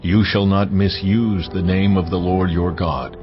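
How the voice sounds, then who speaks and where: A man voices God as an almighty, commanding presence, very deep and grave. Each line is measured and heavy. The audio sounds muffled, and orchestral music plays clearly behind him. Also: steady tone. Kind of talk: dramatized Bible reading.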